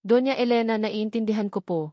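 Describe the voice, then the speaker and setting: A woman with a Filipino accent voicing a soft-hearted, emotional young mother in a romance story. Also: on the high side, fairly even intonation, brisk, dry recording, clean studio sound, lightly acted. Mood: soft, respectful.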